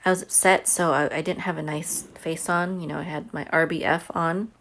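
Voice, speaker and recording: Low-voiced woman telling a personal story. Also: steady tone, moderate pace, gravelly, fairly bright tone, slight background noise. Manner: chatty and earnest, says she has a big voice. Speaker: homeschooling mother. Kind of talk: casual storytelling to camera.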